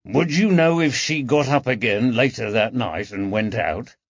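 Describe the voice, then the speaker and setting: A man voicing a patient police constable who asks his questions gently and coaxingly. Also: mid-range voice, animated, unhurried, no echo. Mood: gentle. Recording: dull, dry audiobook recording.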